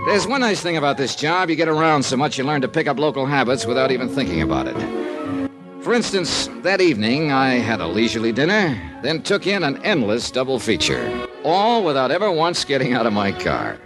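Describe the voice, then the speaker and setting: A man's voice: mid-range voice, big pitch swings, fairly quick. An actor playing a wisecracking male insurance investigator who narrates a 1950s radio drama. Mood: wry. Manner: dry, wisecracking. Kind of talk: 1950s radio detective acting. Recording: old radio broadcast with music.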